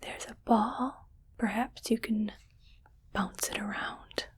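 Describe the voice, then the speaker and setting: A woman playing a scheming, patronizing rich alien in an ASMR roleplay, speaking in a breathy, coaxing whisper. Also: medium pitch, steady tone, lightly acted. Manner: slow, patronizing, softly coaxing. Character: condescending, scheming rich female alien keeping a human pet.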